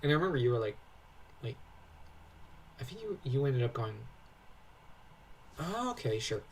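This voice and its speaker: A man's voice: mid-range voice, very animated, normal speed, recorded from a distance, slight background noise. A young man co-hosting a casual lore breakdown, talking in an enthusiastic, rambling way full of tangents. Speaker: co-host commentator.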